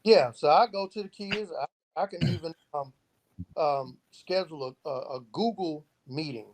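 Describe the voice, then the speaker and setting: A man with a fairly high voice talks as a podcast guest. He explains his points earnestly, often framing them as rhetorical questions. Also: moderate pace, rough recording. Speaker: founder of a youth outreach organization, podcast guest. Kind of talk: earnest explanatory interview talk.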